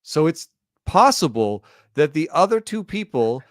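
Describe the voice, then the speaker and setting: A man talking pitching stats in a measured, analytical casual chat on a podcast. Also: very animated, dry recording, studio quality. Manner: measured, analytical, stat-focused.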